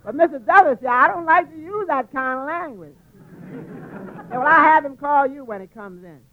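High-voiced wisecracking waiter, old radio. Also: male voice, expressive, normal speed, a faint crowd, slight background noise. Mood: amused, chatty. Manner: dry quips, stammered repeated words. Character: wisecracking, long-suffering tavern waiter. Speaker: radio comedy actor. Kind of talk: 1940s radio comedy acting. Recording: muffled 1940s radio broadcast recording.

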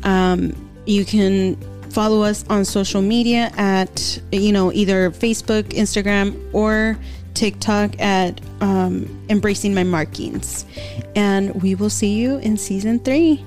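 A woman hosting a podcast in casual chat, with a calm, soothing voice, slipping Spanish phrases into her English. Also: medium pitch, faint music behind. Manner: relaxed, slow, mixing Spanish into English. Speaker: podcast host.

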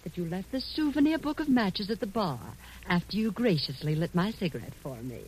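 A woman's voice: medium pitch, expressive, brisk, darkish tone, slightly rough, poor audio. An actress playing a sly, sophisticated female blackmailer with an arch, mocking drawl in 1940s radio drama style. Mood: arch, teasing. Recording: old radio recording, faint hiss.

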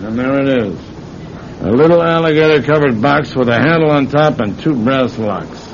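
A man's voice: normal speed, natural voice. A man acting in an old-time radio drama as a sly thief posing as a folksy, fatherly priest, with hesitant, chuckling delivery. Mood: sly, gloating. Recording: muffled, noisy old radio recording with rumble.

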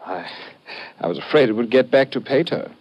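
Man playing a defensive young British toff, animated. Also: medium pitch, fairly quick, muffled recording, close to an everyday voice. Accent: upper-class British. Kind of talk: old-time radio detective drama acting.

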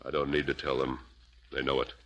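An actor playing a steady masked male Western hero who speaks calmly and firmly in a 1940s radio drama. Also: extremely low pitch, a little fast, lo-fi sound. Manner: calm, firm and commanding.

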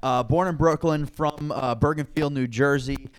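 A man's voice: fairly high pitch, fairly even intonation, normal speed, slight background noise. A man chatting casually as the host of a sports interview podcast.

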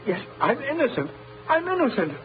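Condemned innocent in old radio melodrama: very high, frantic, wildly swooping pleas, dull vintage sound with music. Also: male voice, big pitch swings, normal speed. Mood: frantic, pleading. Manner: frantic, pleading. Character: desperate, frightened innocent man condemned to death. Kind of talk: 1930s radio melodrama acting.

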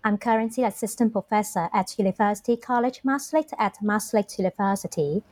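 A woman from Hong Kong, a political scientist guest, introducing her research in a composed, measured academic manner. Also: mid-range voice, darkish tone, no echo. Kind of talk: interview self-introduction.

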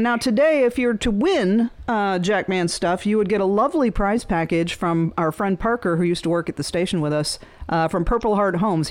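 Radio quiz host, female, casual and chatty, bantering delivery, mid-range pitch. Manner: bantering, laughs easily. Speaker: radio show host running a phone-in quiz.

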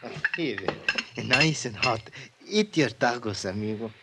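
Evasive, chatty counterman, swooping pitch. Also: expressive, measured pace, sharp tone. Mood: folksy, chummy. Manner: hesitant, rambling, evasive. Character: evasive, chatty male taco-counter man, folksy and nervous. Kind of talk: old-time radio drama acting. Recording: noisy old radio broadcast.

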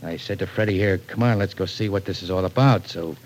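An actor playing a surly, sardonic American small-time crook in an old-time radio crime drama, talking in tough slang. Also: male voice, low voice, brisk, lightly acted. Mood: matter-of-fact. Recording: noisy vintage radio recording.

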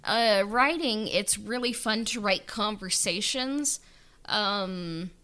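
A woman's voice: medium pitch, takes it slowly, pushed and bright. A woman writer speaking casually and reflectively in an interview, thinking aloud with lots of "like" and "kind of". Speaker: audio drama writer being interviewed.

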